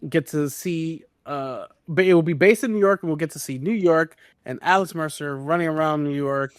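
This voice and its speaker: A man's voice: a little high, normal speed, clean tone, dry recording, studio quality. A man co-hosting a casual gaming podcast, talking emphatically and repeating his points for stress. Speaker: podcast co-host.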